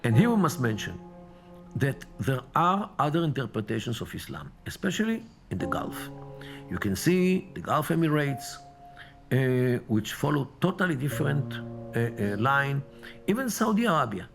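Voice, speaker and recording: A man giving a documentary interview, explaining at length with frequent pauses and fillers. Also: mid-range voice, big pitch swings, gravelly. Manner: hesitant, many pauses and fillers. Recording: loud music bed behind the voice.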